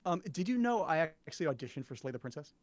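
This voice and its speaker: A man's voice: a little high, very animated, rapid-fire, a crowd in the background. A man in his thirties hosting a live show with casual, joking banter. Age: 30s. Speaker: show host.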